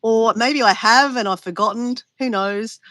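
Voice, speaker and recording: Woman guest, sniffly stuffy nose, higher bright voice, dry sound. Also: moderate pace, no echo. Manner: chatty, rambling with restarts. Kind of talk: casual chat on a video call.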